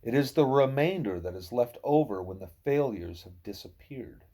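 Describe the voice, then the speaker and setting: Male narrator for literary audiobook: soft husky timbre, relaxed tone, measured explanatory phrasing. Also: mid-range voice. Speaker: audiobook reader. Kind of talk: scripted narration of a novel in dialogue.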